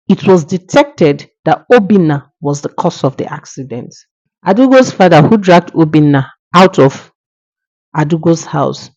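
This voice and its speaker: A woman's voice: deep voice, darkish tone, lo-fi sound. A woman telling a folktale in an emphatic, moralizing manner. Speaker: storyteller. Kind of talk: oral folktale narration with moral lesson.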